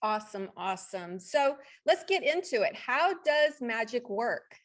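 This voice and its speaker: A woman's voice: a little high, very animated, a little slow. A woman hosting an interview on her online channel, upbeat, with brief affirmations. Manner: upbeat, reading the guest bio, brief affirmations. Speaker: host.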